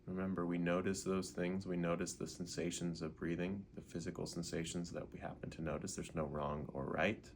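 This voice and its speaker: A man leading a guided meditation in an unhurried way, gently asking questions and leaving pauses. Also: low-pitched, level tone, slight background noise.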